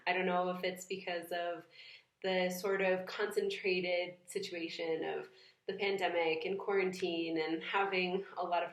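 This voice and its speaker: A young woman from New England talking thoughtfully in a casual vlog, pausing and restarting often. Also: on the low side. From New England, United States. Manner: thoughtful, hesitant, many fillers and restarts. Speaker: vlogger. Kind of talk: casual reflective vlog talk. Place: home room. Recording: echoey room.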